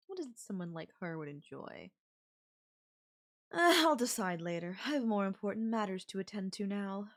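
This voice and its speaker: A woman performs a rich, domineering female chief executive for an audio roleplay. Her voice sits at a medium pitch for a woman. She speaks formally and imperiously, with cold condescension, as someone used to being obeyed. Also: natural voice. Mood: smug, commanding. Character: controlling, haughty, wealthy female CEO, coldly condescending. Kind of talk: ASMR audio roleplay acting.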